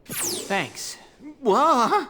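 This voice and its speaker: A timid young lab assistant in a video game cutscene. He is flustered and hesitant, slow to get words out, and his pitch swings widely. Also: very animated, pushed and bright, soft background music, exaggerated voice. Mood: nervous, awkward. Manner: stammering, hesitant. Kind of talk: English dubbed video game cutscene acting.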